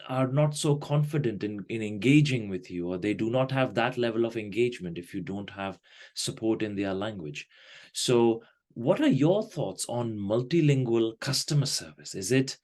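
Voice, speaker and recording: A man's voice: on the low side, darkish tone. A man hosting a podcast interview, asking long, winding questions with fillers and restarts. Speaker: podcast host.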